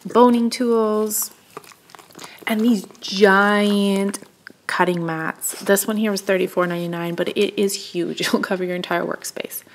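Chatty woman with a gravelly creak. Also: mid-range voice, steady tone, measured pace, a little hiss or hum. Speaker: craft shopping vlogger. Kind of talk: casual shop-with-me narration. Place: indoors, in a store aisle.

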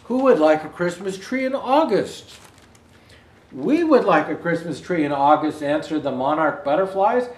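An older man reading a children's picture book aloud as a storyteller, with expressive, deliberate phrasing and the odd stumble. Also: on the high side, distant mic. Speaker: Santa Claus storyteller. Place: indoor room with Christmas decor. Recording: roomy indoor echo.

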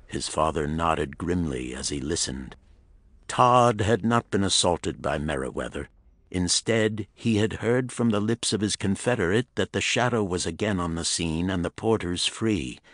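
Deep-voiced man narrating a thriller. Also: dry recording, studio quality. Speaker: audiobook narrator. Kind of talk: dramatic scripted pulp thriller narration.

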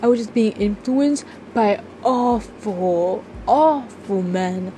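A woman voicing a remorseful middle-aged father, halting and earnest, in an amateur roleplay drama. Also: mid-range voice, mellow, slight background noise, natural voice. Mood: apologetic. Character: remorseful middle-aged father apologizing to his grown daughter.

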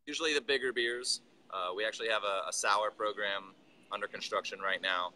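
Brewery owner in his thirties on a video call; bright, low voice, loose halting delivery. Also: slight background noise. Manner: relaxed, halting, with restarts. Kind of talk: casual interview on a video call. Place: brewery building, indoors. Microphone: video call, phone or laptop camera.

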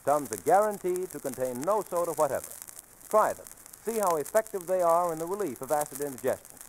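A man with an American accent delivers a vintage radio commercial. His voice sits a little high, with emphatic, careful wording. Faint noise from the old 1940s recording runs underneath. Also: lively intonation, fairly quick, low-quality recording. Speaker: commercial announcer. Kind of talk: 1940s radio advertising read.

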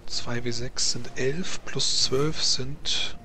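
A man's voice: medium pitch, steady tone, extremely slow, pushed and bright, slight background noise. A man narrating a tabletop game as game master, often hesitating and repeating words as he rules. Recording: music playing behind the voice. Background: background music.

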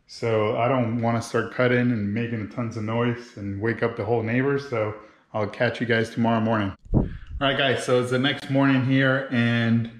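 A man in his thirties giving a casual, informal step-by-step how-to commentary as he works on a build. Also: mid-range voice. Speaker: motorcycle builder and video host. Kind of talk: casual how-to vlog narration while working. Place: home garage with hard floor. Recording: roomy, echoing garage sound.

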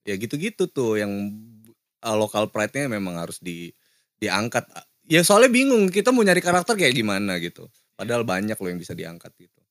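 Low-voiced young man hosting a casual chat. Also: fairly quick, dry recording, clean studio sound. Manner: relaxed, rambling, agreeable. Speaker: podcast host. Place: small decorated cafe studio, indoors. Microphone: desk mic with headphones.

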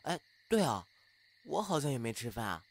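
A man's voice: mid-range voice, very animated, moderate pace, a little hiss or hum. A man playing a flustered, bashful, grumbling young housemate in an audio drama. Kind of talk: Chinese fan audio drama acting.

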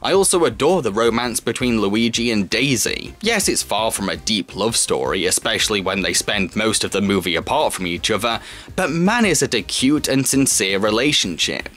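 A man's voice: lowish voice, animated, moderate pace, a bit bright. A young man narrating a scripted review in an enthusiastic, emphatic manner. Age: young adult. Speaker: film reviewer, video host. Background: background music.

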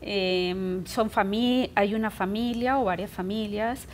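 A middle-aged woman from Costa Rica speaks very slowly as a studio guest, searching for her words with plenty of fillers. A faint rumble sits under the studio sound. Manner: careful, hesitant, many fillers. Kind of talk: interview answers. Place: indoor TV studio set.

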